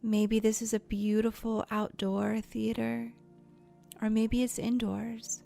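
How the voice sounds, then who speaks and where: A woman leading a guided imagery meditation in a soft, soothing, gentle voice that turns breathy at times, with unhurried pauses. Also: a little high, completely flat delivery. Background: soft background music.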